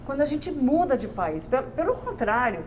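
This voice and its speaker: A woman from Brazil, a guest entrepreneur, telling her story in a conversational interview with lively restarts and fillers. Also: high voice, a little fast, noisy background.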